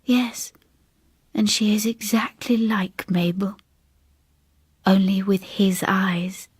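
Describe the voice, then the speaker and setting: Sombre older spinster, played by a female reader, grave and halting audiobook ghost tale, breathy, dry close sound. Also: medium pitch, steady tone, dry recording, voice pushed down. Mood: bleak, resigned. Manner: hushed, grave, halting delivery. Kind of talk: dramatic ghost story reading.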